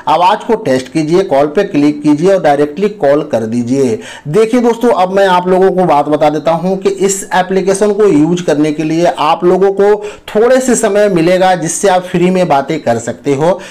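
Male tutorial host, slightly high voice, informal instructional narration for online viewers. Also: a little mellow. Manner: instructional, addresses viewers as friends.

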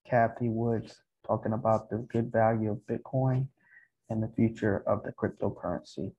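A man hosting a casual stock market update, speaking in short, halting, measured phrases. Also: lowish voice, dark tone, slight background noise. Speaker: stock video host. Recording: dull, muffled lo-fi home recording.

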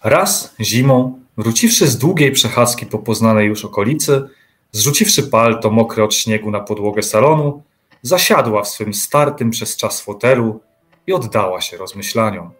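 A man narrating a dark literary radio play in a measured storytelling manner. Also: fairly low pitch, expressive, natural voice. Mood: measured, atmospheric.

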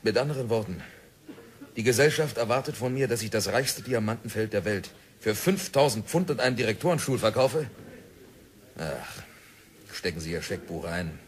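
A man's voice: on the low side, expressive, normal speed, lightly acted. A man playing a stubborn, indebted prospector in a 1960s radio drama, curt and defiant.

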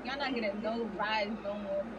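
A woman's voice: medium pitch, fairly even intonation, normal speed, distant mic. A woman bantering casually as a player in an online roleplay game. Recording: noisy game audio background.

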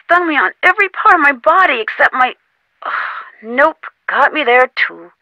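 A woman's voice: sits high, sing-song, moderate pace, sharp tone, no room sound, highs cut off, exaggerated voice. An actress voicing a young woman friend in a video game, chatty and encouraging. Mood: sore. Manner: chatty, encouraging, over the phone. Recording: dry studio voice-over.

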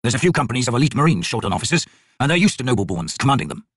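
Guy doing a gruff old-soldier commander, rough growly voice, measured, in charge, audiobook. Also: mid-range voice, very animated, no echo, clean studio sound. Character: grizzled, gruff veteran male army commander.

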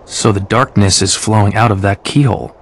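A man acting a serious, level-headed young warrior in a video game, speaking in a grave, measured way. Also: on the low side, steady tone.

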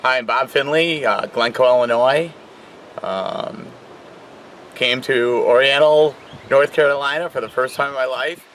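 A middle-aged man giving a relaxed outdoor interview about his sailing, with plenty of uh fillers and a modest tone. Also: medium pitch, measured pace, a little hiss or hum. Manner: relaxed, many uh fillers, self-deprecating. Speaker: sailor, regatta leader. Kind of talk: casual interview. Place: outdoors by trees and parked cars. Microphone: camera mic.

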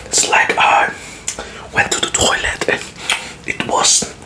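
Male ASMR host, thirties. Breathy whisper throughout, very slow short phrases, close on the mic. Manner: slow, halting, short phrases with mouth sounds.

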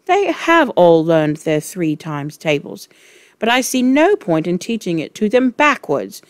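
A woman's voice: fairly low pitch, big pitch swings, measured pace, no room sound. A woman voicing a principled schoolteacher in a storybook, earnest, polite and firmly defiant.

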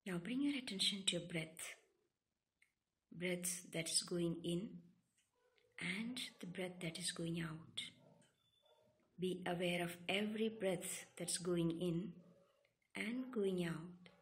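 Woman, somewhat low voice, unhurried drawn-out pace, yoga nidra guide, calm instructing phrases. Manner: calm, soothing, instructing in short separated phrases. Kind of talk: guided relaxation meditation.

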